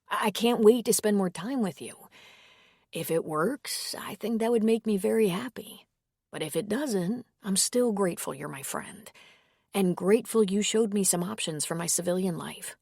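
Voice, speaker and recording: A woman voicing a gentle middle-aged man, an earnest former priest, in a warm, softly persuasive read. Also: mid-range voice, vocal fry, husky, no room sound, studio quality. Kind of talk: audiobook character reading.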